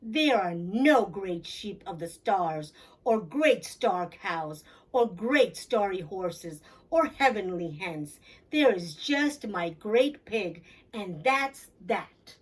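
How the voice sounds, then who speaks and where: A woman voicing a proud, excitable young storybook pig, insistent and squealing. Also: mid-range voice, takes it slowly, breathy edge, far from the mic. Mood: stern, insistent.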